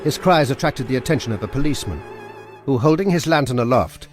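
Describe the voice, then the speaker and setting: A man narrating a period radio drama in a measured, grave storytelling manner. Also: mid-range voice, expressive, soft background music.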